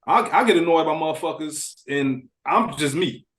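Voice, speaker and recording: A man's voice: on the high side, animated, normal speed, fairly bright tone. An American man chatting casually as a podcast panelist, plainspoken and full of slang. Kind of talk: casual chat. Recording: echoey room.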